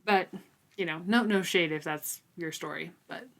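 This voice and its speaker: A woman with a slightly low voice co-hosts a book read-along podcast. She talks casually and informally, recapping the story with playful little asides and plenty of "like". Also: normal speed. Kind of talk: casual chat, recapping a novel.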